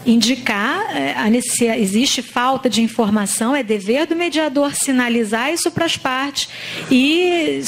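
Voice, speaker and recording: A woman's voice: high voice, a little hiss or hum. A woman in her forties with a Brazilian accent, lecturing in a large auditorium and explaining patiently with frequent tag questions. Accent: Brazilian Portuguese. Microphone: handheld microphone.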